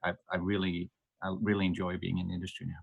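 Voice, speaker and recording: Middle-aged Danish man, low voice, thoughtful and halting guest on a podcast. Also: completely flat delivery, vocal fry, lo-fi sound. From Denmark. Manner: hesitant, many ums and restarts, laughs lightly. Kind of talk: podcast interview.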